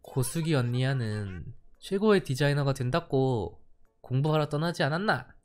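A man's voice: medium pitch, expressive, normal speed, faint music behind, close to an everyday voice. A young man reading lines as an earnest young female hedgehog shopkeeper in a Busan dialect, with emphatic exclamations. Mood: insistent.

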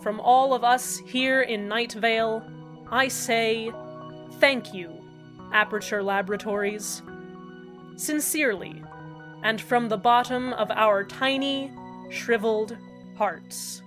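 A woman voicing an earnest male small-town radio host who signs off his show with gentle irony. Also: fairly high pitch, slow pace, faint music behind. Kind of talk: fan audio drama acting.

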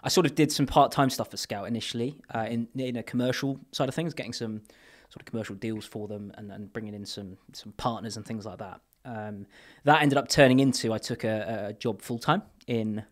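A man in his thirties from England sits in a podcast studio and talks into a boom mic. He rambles through his answers with restarts and fillers. His phrases often trail off quietly. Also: fairly even intonation, brisk, hardly any pauses. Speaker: podcast guest. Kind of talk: casual interview chat. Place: podcast studio with brick wall. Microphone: boom mic on arm.

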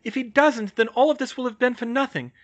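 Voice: a little fast, muffled recording, natural voice. A performer voicing an anxious, insistent teenage girl, shaky but urgent. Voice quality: high, shaky. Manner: urgent, faltering, pressing.

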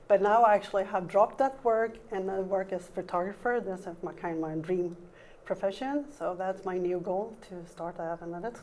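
A woman's voice: mid-range voice, moderate pace, a little mellow, slight background noise. A Swedish woman in her fifties, a guest in a small TV studio interview, chatting with slightly non-native phrasing. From Sweden. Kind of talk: casual interview.

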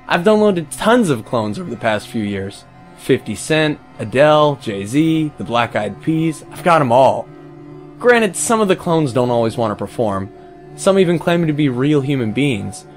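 Man acting a flippant, animated smug music pirate. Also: mid-range voice, lightly acted. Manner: flippant, self-justifying, rhetorical questions. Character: smug callous male music pirate defending himself. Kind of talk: scripted comic monologue.

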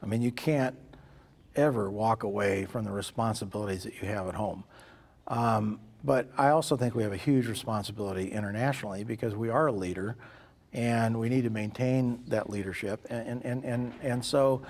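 A man's voice: fairly low pitch, normal speed, a little mellow. A man in his sixties answering interview questions earnestly in a farm shed, often repeating words as he starts a thought. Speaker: interviewee, farmer philanthropist. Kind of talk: sit-down interview. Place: large farm machinery shed.

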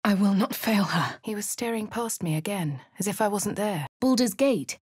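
An actress with a posh English accent playing a composed, wry female adventurer in measured narration. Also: medium pitch, lively intonation, darkish tone, slightly rough, no echo, studio quality. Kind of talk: game character voice lines.

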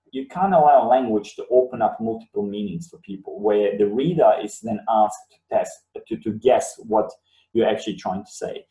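A Bosnian-native man in his thirties walks students through a webinar lesson, repeating key words to drive them home. His voice is mellow and low in brightness. He sits a little off the mic, and the room around him rings. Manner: explanatory, repeats words for emphasis. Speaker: university lecturer. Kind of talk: webinar lecture. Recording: roomy sound, mic at a distance.

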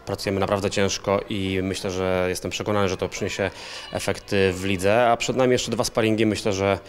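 Low, flat-voiced fast-talking coach. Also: male voice. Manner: repeats words while searching for phrasing. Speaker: assistant coach. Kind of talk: post-match interview. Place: hotel lounge indoors. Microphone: handheld interview mic.

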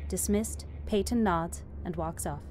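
A woman's voice: fairly low pitch, measured pace, darkish tone, a little hiss or hum. A woman narrating screenplay stage directions as scripted narration. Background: music.

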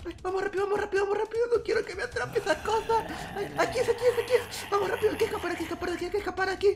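A woman's voice: very high voice, steady tone, racing, background noise. A woman voicing a jumpy, easily frightened young character who squeals and cries out constantly, then speaks to viewers at the end. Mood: panicked. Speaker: channel character addressing viewers. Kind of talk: comic horror skit.

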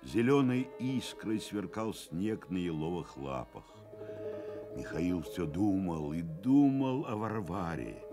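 A man narrating a radio drama in a measured, expressive literary storytelling manner. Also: sits low, music in the background.

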